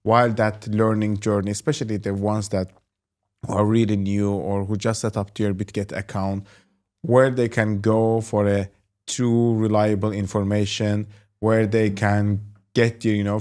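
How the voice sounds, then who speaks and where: A man's voice: fairly low pitch, a little mellow. A man hosting an interview, asking long, rambling questions full of "you know" and "like". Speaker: host and company executive. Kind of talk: interview host.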